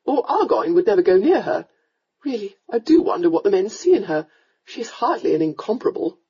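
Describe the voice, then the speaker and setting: A woman's voice: big pitch swings, brisk, warm tone, slightly rough, muffled recording, full character voice. A woman voicing a fussy, domineering countess scolding her daughter in a storybook reading. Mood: scheming. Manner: scolding, shrill, melodramatic.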